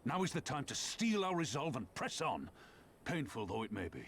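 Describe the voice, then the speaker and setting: An actor playing a resolute general in a video game, speaking in a solemn, stirring and formal manner. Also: male voice, medium pitch, big pitch swings, normal speed, slight background noise. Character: resolute, commanding male general rallying comrades. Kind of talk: dramatic video game voice acting. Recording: game music behind the voice.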